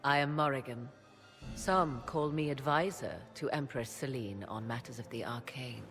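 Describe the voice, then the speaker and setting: An enigmatic sorceress from a fantasy game, haughty and theatrical. Her diction is archaic and measured, with sly pauses. Music plays clearly behind her. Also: extremely low pitch. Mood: knowing, silky. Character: enigmatic, haughty sorceress, arcane advisor at court. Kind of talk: fantasy game cutscene acting.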